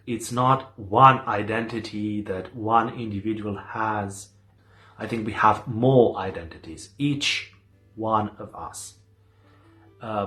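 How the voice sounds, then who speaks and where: A man in his twenties from Romania, giving measured, reflective interview answers. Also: on the low side, fairly bright tone. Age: 20s.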